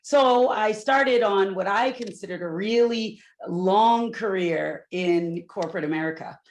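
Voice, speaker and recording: A middle-aged woman from Newport, Rhode Island, a loud and candid corporate executive telling her career story on a panel with asides to the audience. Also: mid-range voice, unhurried. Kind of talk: panel talk, personal story.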